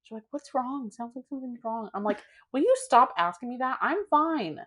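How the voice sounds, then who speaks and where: A young woman co-hosting a podcast, talking in a chill, calm, monotone way in casual chat with a friend. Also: sits high, normal speed. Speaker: podcast co-host.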